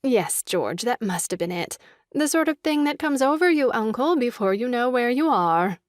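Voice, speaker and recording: Woman acting a coaxing, high-voiced young lady. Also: animated, normal speed, dry recording, studio quality, close to an everyday voice. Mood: feigned innocence. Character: sweet-talking, conspiring young woman flattering her uncle. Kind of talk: stage farce audio drama acting.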